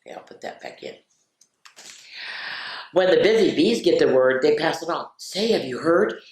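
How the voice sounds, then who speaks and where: A woman reading aloud to children as a storyteller, expressive and playful, with chatty asides. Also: low voice, moderate pace. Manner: expressive, playful, drops into whispers for refrains. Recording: roomy, echoey sound.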